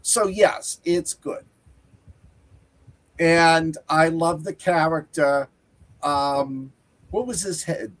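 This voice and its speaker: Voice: mid-range voice, drawn-out words, pauses often, fairly bright tone, poor audio. A podcast co-host and teacher with a Boston accent, rambling casually about movies and correcting themselves mid-sentence. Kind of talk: casual movie review chat.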